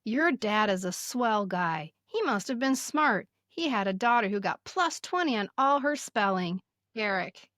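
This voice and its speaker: A woman's voice: medium pitch, animated, a little slow, a bit bright, dry recording. Female co-host, 50s, American, middle-register voice, casual conversational reading, recorded very dry. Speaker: podcast host. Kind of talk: casual chat, reading old yearbook messages aloud.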